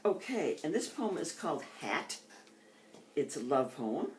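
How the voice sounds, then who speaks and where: The voice is an older woman's, with the careful phrasing of a poet at a reading. She speaks in a measured, deliberate way. The sound is strongly echoey, with the room clearly heard around her. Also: low-pitched, big pitch swings, darkish tone, slight background noise. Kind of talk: poetry reading with informal introductions.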